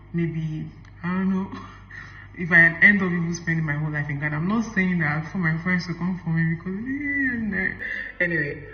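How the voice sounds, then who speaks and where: A man's voice: a little high, gravelly, slight background noise. A man with a Nigerian accent, talking casually to camera and explaining at length. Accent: Nigerian English. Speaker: vlogger. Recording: echoey, dull-sounding room.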